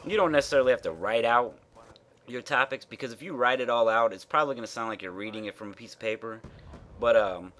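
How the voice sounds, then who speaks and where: A man's voice: mid-range voice, a bit bright, slight background noise. A man with a nasal voice giving frank, rambling commentary over gameplay as a gaming YouTuber, full of fillers and the odd swear.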